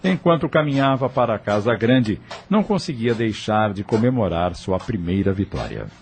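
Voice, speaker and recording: A man's voice: lowish voice, sing-song, normal speed, darkish tone, slight background noise. A man with a Brazilian accent narrating a scripted audio novel. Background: music.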